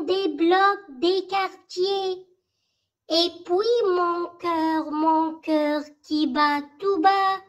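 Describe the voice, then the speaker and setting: A very high-pitched voice reciting a school poem in short, measured phrases with pauses, for learners to memorize. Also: fairly bright tone.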